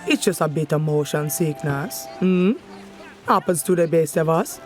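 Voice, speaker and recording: Woman acting a calm, wry sailor. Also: low voice, normal speed, natural voice. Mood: reassuring. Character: calm, wry adult ship's crewmate. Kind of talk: scripted audio drama acting. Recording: music behind the voice.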